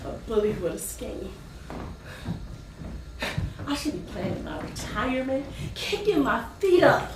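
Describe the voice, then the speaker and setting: Far from the mic in a very echoey theater, an actress plays a struggling wife and mother with a high voice. She is proud and indignant, delivering her stage lines with defiant, sarcastic emphasis over faint noise. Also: sing-song, a little slow, long pauses. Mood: bitter, weary. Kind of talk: theatrical stage play acting with dramatic monologue. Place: theater stage, recorded from a distance.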